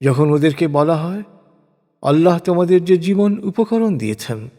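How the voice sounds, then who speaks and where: A man narrating translated scripture in a solemn, measured, deliberate way, reading from a script. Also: a little high, animated, warm tone. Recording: long reverberant hall echo.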